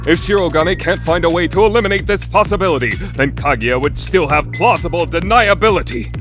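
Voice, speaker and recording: A man's voice: a little high, brisk, natural voice. A man playing a bombastic narrator in a romantic comedy anime radio play, with grave, emphatic, theatrical delivery. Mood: dramatic, explanatory. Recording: music under the voice.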